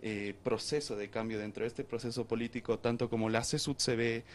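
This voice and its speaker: A man's voice: mid-range voice, steady tone, fairly quick, slight background noise. A man explaining a political organization during an interview, often ending with tag questions. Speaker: interviewee, youth group member. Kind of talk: group interview.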